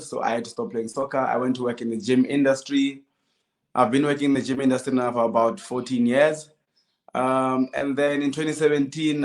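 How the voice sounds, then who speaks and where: A South African man in his thirties telling his own story casually as a guest, in short sentences with quoted dialogue. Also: mid-range voice. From Limpopo, South Africa. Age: 30s. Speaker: podcast guest, amateur runner.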